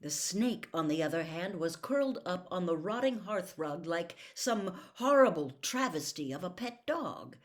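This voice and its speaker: Slow-paced woman reading a suspenseful story. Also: lowish voice. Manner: expressive, suspenseful, with hushed breathy emphasis. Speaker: storyteller reading a novel aloud. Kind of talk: dramatic storybook narration.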